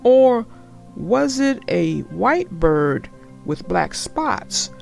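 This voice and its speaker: A woman's voice: mid-range voice, big pitch swings, very slow, vocal fry, darkish tone, faint music behind, heavily acted. A woman voicing a puzzled, good-natured father bear in a storybook, bemused and questioning. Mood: confused.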